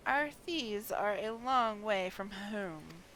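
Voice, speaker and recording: A woman's voice: medium pitch, animated, slow pace, a bit bright, a little hiss or hum. A woman voicing a shrewd, composed female adventurer from a fantasy game, in formal archaic phrasing.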